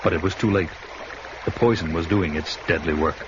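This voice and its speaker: A man's voice: low-pitched, fairly quick, background noise, lightly acted. Man playing a grim, solemn barbarian warrior, muffled radio sound. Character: grim, vengeful barbarian warrior telling his own saga. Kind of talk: dramatic first-person radio narration and acting. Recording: dull lo-fi radio recording, loud music behind.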